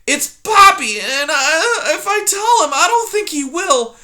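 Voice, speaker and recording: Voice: extremely high pitch, big pitch swings, measured pace, sharp tone, voice pushed up, heavily acted. A reader voicing a timid young female deer mouse who stammers and pleads, in a storybook reading. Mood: frightened.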